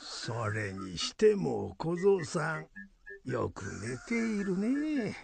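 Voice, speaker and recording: A man playing a kindly elderly temple priest in a folk tale radio drama, musing in slow, old-fashioned speech. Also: fairly high pitch, huge pitch swings, creaky, over music, full character voice. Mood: quiet musing.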